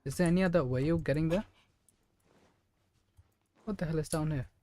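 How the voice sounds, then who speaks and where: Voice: medium pitch, a little fast, mellow. A game streamer giving casual gameplay commentary in a rambling, thinking-aloud way. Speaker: game livestreamer.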